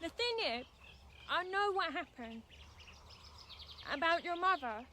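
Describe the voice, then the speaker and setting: High-voiced earnest young deaf woman. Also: lively intonation, takes it slowly, pushed and bright, slight background noise, heavily acted. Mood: pleading, insistent. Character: earnest deaf young woman, dogged, pleading amateur witness. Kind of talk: naturalistic radio drama acting.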